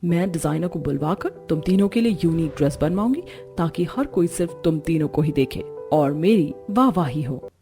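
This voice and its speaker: A woman's voice: sits low, lively intonation, moderate pace, mellow, soft background music. A woman voicing a haughty, domineering rich mother-in-law in a cartoon story, speaking in a stern, imperious way. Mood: scolding, commanding. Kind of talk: Hindi cartoon story dialogue.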